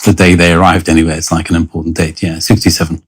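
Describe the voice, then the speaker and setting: Deep, husky Londoner around sixty. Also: male voice, level tone, quick pace. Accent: London English. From London, England; born in Lebanon. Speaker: interview guest. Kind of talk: conversational reminiscence.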